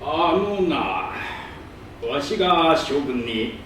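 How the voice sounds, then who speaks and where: Staged reading: grandiose old monarch, high-pitched and imperious, theatrical delivery, recorded far off in the hall. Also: male voice, expressive, extremely slow, a bit bright, exaggerated voice. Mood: lofty, reasoning. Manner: pompous, imperious, archaic old-man speech. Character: pompous old king ruling a tiny asteroid. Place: public hall stage, distant microphone. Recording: echoey hall from far off, faint noise.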